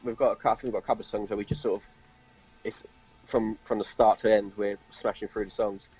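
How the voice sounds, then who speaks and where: Lo-fi audio with faint music, man, husky, lowish voice, hesitant, casual. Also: little pitch movement, fast talker, darkish tone. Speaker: band member being interviewed. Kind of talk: casual chat. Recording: lo-fi call, faint music behind.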